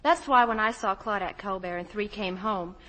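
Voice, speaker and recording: A woman's voice: medium pitch, normal speed, sharp tone. Young American woman, scripted radio guest. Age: young adult. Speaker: guest starlet in sponsor segment. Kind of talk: scripted 1950 radio endorsement. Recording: old 1950 radio broadcast.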